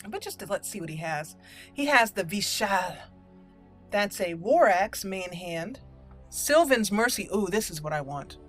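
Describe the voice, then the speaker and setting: Woman, game channel host, roleplay commentary, casual asides, mid-pitched voice, faint music. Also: expressive, measured pace. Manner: slips between in-character lines and casual asides.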